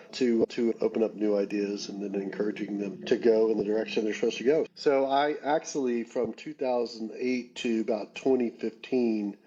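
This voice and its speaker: A man's voice: on the low side, warm tone, muffled recording. A middle-aged man from Texas, a leadership coach, telling his background in a conversational interview.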